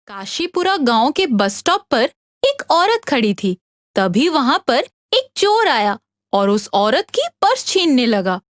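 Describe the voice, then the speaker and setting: A woman reading scripted narration for an animated children's story. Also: high-pitched, takes it slowly, no room sound, studio quality.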